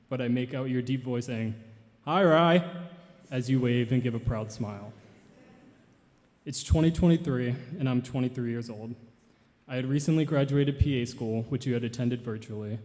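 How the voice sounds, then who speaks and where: Young man, 20s, grandson eulogizing, measured and earnest; mellow tone, reverberant church hall. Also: medium pitch. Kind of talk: memorial tribute and poetry reading.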